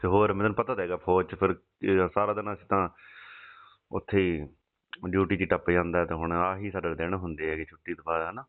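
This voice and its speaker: Man, deep low voice, quick talker, casual intimate chat, muffled phone recording. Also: little pitch movement. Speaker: soldier on leave, phone caller. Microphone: phone call.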